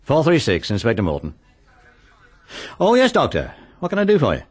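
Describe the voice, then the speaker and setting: An actor playing a methodical, polite police inspector in a British radio mystery, with a businesslike manner. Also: male voice, mid-range voice, wildly swooping pitch, husky. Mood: attentive, official. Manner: businesslike, deliberate.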